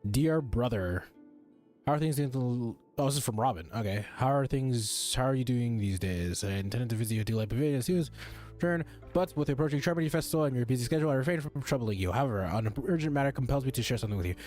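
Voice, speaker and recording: A man's voice: mid-range voice, lively intonation, quick pace, darkish tone. A man live-streaming a game, commenting in a casual, slangy way and reading game text aloud. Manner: informal, slangy. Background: game music.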